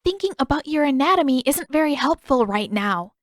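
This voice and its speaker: A woman narrator puts on a sarcastic teenage girl in an adventure audiobook. She scolds with scornful emphasis and little patience, her pitch lifted. The recording is very dry. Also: lively intonation, brisk, dry recording. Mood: disgusted annoyance.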